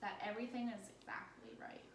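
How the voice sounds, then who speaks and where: A woman reading a children's story aloud as a teacher, in an expressive, encouraging manner with questions for the listener. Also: mid-range voice, fairly quick. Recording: echoey room with faint noise.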